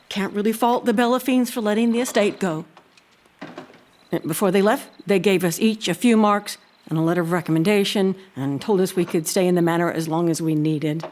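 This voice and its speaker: An actress playing an earnest, proud housekeeper who tells a haunting tale in a deliberate, expressive way. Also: female voice, medium pitch, lightly acted. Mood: earnest, guarded. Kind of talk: dramatic audio-drama storytelling.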